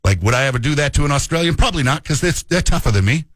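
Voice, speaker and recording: Chatty Upper Midwestern radio host. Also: male voice, fairly high pitch, lively intonation, racing, dry recording. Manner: chatty, laughs often, jokey. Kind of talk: casual radio banter.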